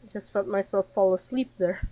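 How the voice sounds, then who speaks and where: A woman's voice: medium pitch, a little fast, dark tone, a little hiss or hum, rough recording. Wry woman streamer, casual game commentary. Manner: wry, informal asides. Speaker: gaming streamer. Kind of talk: casual gameplay commentary with reading aloud.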